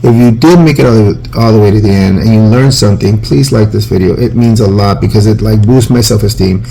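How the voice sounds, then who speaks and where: A man's voice: on the low side, a little fast, gravelly, warm tone, slight background noise, rough recording. A man in his forties, a teacher, giving an informal, rambling phonics lesson and often correcting himself. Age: 40s.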